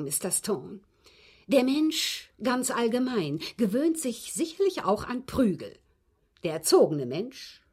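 An actress in a German radio drama playing a well-bred, abused woman confessing a poisoning to the police, phrasing deliberately and emphatically in a husky, breathy voice. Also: mid-range voice, a little mellow, heavily acted. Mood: resigned, intense.

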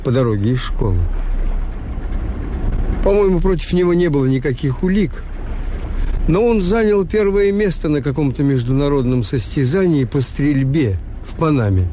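An actor playing a cynical, reserved hotel owner in a Soviet radio drama, speaking and narrating in a measured, dry, courteous way. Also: male voice, on the high side, lively intonation, mellow, a little hiss or hum, close to an everyday voice. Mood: grim, restrained. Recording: old radio drama with music behind.

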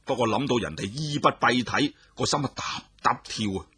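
A man telling a traditional story with expressive, animated narration. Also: medium pitch, moderate pace, slightly rough, no echo.

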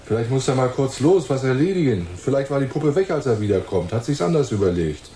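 An actor playing a gruff, brusque police inspector who talks down to others, in a hardboiled radio play. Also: male voice, medium pitch, expressive, a little fast, mellow, lightly acted. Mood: dismissive, scornful. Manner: brusque, condescending. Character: gruff, scornful, hard-boiled male police inspector. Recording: roomy echo with faint ambient noise.

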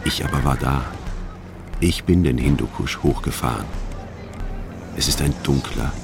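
An actor playing a stern German army sergeant in a radio drama, speaking in a measured, officious way. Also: male voice, very deep voice, gravelly, noisy background, full character voice. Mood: sombre, measured. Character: stern German army sergeant recounting a secret river mission. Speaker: narrator.

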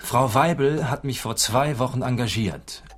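An actor playing a prim, earnest male novice private detective in a radio drama, insistent in manner and husky in voice. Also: medium pitch, unhurried. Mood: earnest, explaining.

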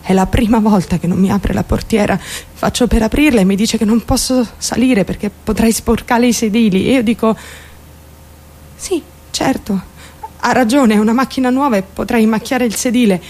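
An actress playing a naive, lovestruck and traumatized young woman in an intimate, halting radio drama monologue. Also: fairly high pitch, darkish tone. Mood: numb, fragile. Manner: intimate, halting, childlike self-questioning. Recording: music behind the voice. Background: music.

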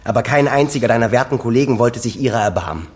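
An actor playing a bitter, wounded grown son who speaks in a reproachful, sardonic way in a radio drama. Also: male voice, mid-range voice, quick pace, lightly acted. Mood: bitter, contemptuous. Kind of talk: German radio drama dialogue.

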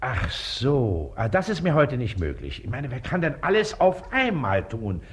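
An actor playing a pompous, impatient district official who speaks in a curt, blustering and officious way in a radio comedy. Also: male voice, medium pitch, very animated, unhurried. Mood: impatient, dismissive. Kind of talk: stage-comedy radio acting. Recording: noisy old radio recording.

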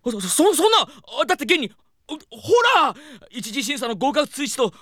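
A man's voice: extremely high pitch, normal speed, no room sound, studio quality, exaggerated voice. A man playing a polite, worry-prone young talent manager who gets whiny and flustered, in a comedic audio drama. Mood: flustered, earnest. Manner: polite, anxious, excitable.

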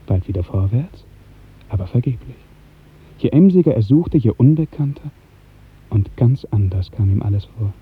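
A man narrating a literary radio drama in 1950s style, with measured, expressive storytelling. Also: medium pitch, breathy edge. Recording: muffled, tinny 1950s radio recording.

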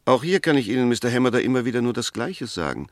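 A man's voice: mid-range voice, sing-song, quick pace, no echo, lightly acted. A man acting an eloquent, sardonic defence barrister in a 1960s German radio courtroom play, with emphatic, rhetorical phrasing. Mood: insistent, reasoning.

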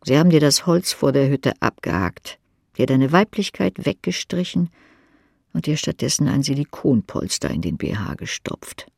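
A man narrates a novel in a husky voice with a lot of creak. He moves from emphatic phrases down to breathy near-whispers, recorded very dry. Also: mid-range voice, very animated, normal speed, no room sound. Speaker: audiobook narrator. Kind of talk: dramatic literary narration.

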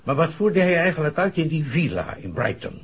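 An actor playing a gruffly genial senior police chief in a 1960s Dutch radio detective play. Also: male voice, on the high side, sing-song, a little fast. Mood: curious. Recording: muffled 1960s radio recording.

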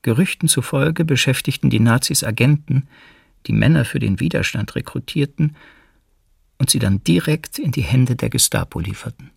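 A man's voice: medium pitch, moderate pace, vocal fry, husky, dry recording, studio quality. An actor playing a wary man recalling life in hiding from wartime occupiers, in a sober, reflective radio drama reading. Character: wary male fugitive recalling wartime resistance plans.

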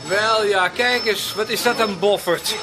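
A man's voice: sits high, measured pace. A male actor in a radio play playing a breezy, defensive middle-aged husband. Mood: jovial.

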